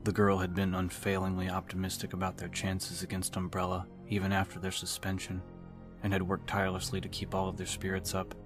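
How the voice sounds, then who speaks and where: Male narrator, very low pitch, flat delivery, dramatic audiobook prose, music bed. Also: a little fast. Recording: music behind the voice.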